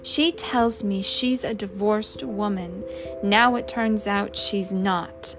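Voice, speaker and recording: A woman's voice: medium pitch, slow, over music, close to an everyday voice. A woman voicing an indignant, blustering working-class husband in an audiobook story, with slangy, emphatic speech. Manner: uneducated slangy speech, punctuates with "see?".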